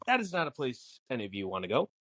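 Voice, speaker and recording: A man with a mid-pitched, very animated delivery explains film lore as a podcast guest. He is casual and theatrical, slipping into mock exasperation. The sound is dry and close. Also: fast talker, no echo.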